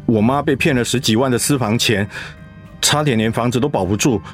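A man voicing a dutiful middle-aged son and schoolteacher in a radio drama, speaking in a steady, subdued way. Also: mid-range voice, a little fast, soft background music, lightly acted. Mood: somber.

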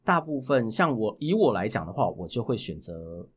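Taiwanese Mandarin man with animated, swooping pitch. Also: breathy edge. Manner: explanatory, halting with self-corrections. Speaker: guest voice actor. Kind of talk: casual interview talk.

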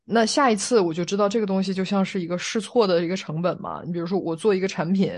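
A woman's voice: mid-range voice, fast talker, a little mellow. Casual, explanatory woman podcast co-host. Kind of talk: casual discussion.

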